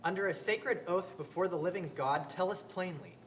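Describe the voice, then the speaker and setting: An actor playing a stern, accusing high priest who interrogates a prisoner in a declamatory, demanding manner in a dramatic reading. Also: moderate pace, close to an everyday voice. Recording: faint background room noise.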